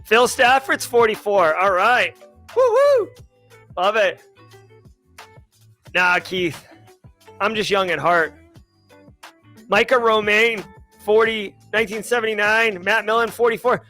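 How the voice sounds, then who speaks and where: Man in his forties, casual livestream host, rambling and upbeat, crisp high voice, faint music behind. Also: animated, takes it slowly, pauses often, bright, forceful tone. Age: 40s. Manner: rambling, upbeat, restarts and corrects himself often. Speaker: livestream host answering chat questions.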